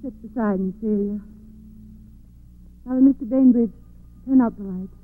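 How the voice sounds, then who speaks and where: A woman's voice: fairly high pitch, measured pace, phone-quality sound, lightly acted. An actress playing an earnest, insistent woman in a 1940s radio murder mystery. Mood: firm. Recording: thin, dry old radio recording.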